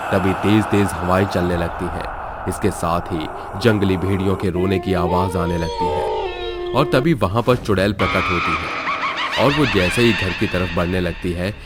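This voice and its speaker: A man narrating a scripted horror story in a dramatic storytelling style. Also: deep voice.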